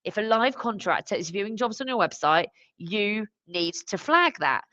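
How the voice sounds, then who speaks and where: A woman's voice: mid-range voice, lively intonation, normal speed, bright, forceful tone, no echo, dull audio. Emphatic woman explaining, dry webcast sound. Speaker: recruitment automation consultant. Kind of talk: live business discussion. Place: online live stream.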